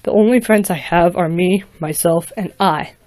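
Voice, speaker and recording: A woman's voice: normal speed, a little mellow, no echo, natural voice. A woman voicing a lonely masked villain who speaks sadly and apologetically. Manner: sad, low-key, apologetic.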